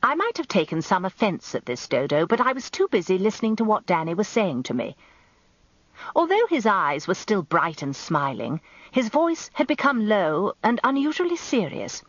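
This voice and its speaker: An actress playing a genteel, self-important middle-aged English wife, narrating a radio drama in an arch, confiding, expressive way. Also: female voice, medium pitch, moderate pace, no echo, lo-fi sound. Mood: gossipy.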